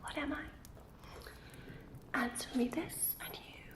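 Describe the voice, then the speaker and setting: A woman performs a mysterious enchanted portrait who toys with visitors in riddles. She speaks in a slow, high-pitched whisper, teasing and repeating words, with echo around her in an ASMR fantasy roleplay. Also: steady tone. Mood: eerie, mysterious. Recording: echoey room sound.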